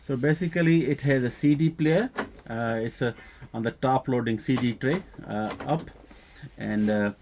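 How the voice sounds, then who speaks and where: Low-voiced, halting man, non-native English speaker. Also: a little mellow. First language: not English. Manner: hesitant, halting phrasing with frequent filler sounds. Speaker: host of a hobby audio equipment channel. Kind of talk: casual product showcase vlog.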